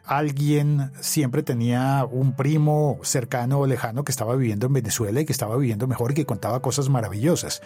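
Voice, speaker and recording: A man's voice: mid-range voice, brisk, few pauses, warm tone. A man with a Bogotá Colombian accent hosting a conversational podcast interview, telling things in a reflective, storytelling way. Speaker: podcast host.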